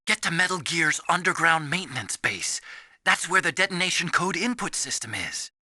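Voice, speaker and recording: Casting: timid, kindly young scientist in a video game. Sharp, lively, dry close recording, earnest delivery. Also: male voice, fairly high pitch, normal speed, no room sound, full character voice. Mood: urgent, explaining. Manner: earnest, anxious, explanatory. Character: earnest, timid young male scientist, soft-hearted and nerdy.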